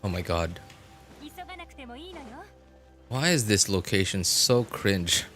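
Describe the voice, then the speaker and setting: A man's voice: deep voice, animated, slow pace, bright, forceful tone, soft background music. A man chatting casually as a game livestreamer, hesitant and searching for words, often repeating himself. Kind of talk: casual rambling livestream chat.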